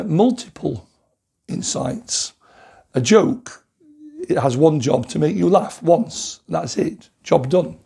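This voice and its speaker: Man, hoarse croaky voice, husky, slightly higher pitch, thoughtful rambling sermon. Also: dark tone. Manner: rambling, thoughtful, many fillers and restarts. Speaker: Methodist preacher. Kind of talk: recorded online sermon.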